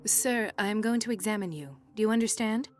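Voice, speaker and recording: An actress playing a composed, caring and determined hospital doctor in a video game, with a measured clinical delivery. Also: female voice, mid-range voice, a little mellow, faint music behind, natural voice. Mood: urgent, commanding. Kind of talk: video game cutscene acting.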